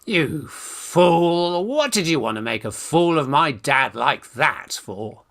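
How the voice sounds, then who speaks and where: A man acts a lovesick, hot-headed young gent from a Victorian farce. He scolds with exasperation in a lively, swinging voice, placing his words deliberately and emphatically. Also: fairly high pitch, creaky, exaggerated voice. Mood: exasperated. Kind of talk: Victorian farce radio acting.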